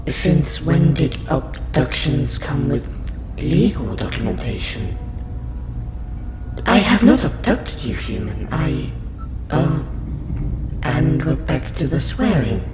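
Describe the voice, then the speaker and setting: A man voicing a polite, patient alien researcher in an audio roleplay, speaking formally and precisely to a human. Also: on the high side. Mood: calm, reassuring, then hesitant, conceding. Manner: formal, precise, courteous, measured. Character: polite, patient male alien scientist abductor interviewing a human. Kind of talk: audio roleplay addressed to the listener. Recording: roomy echo, loud background music bed. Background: music.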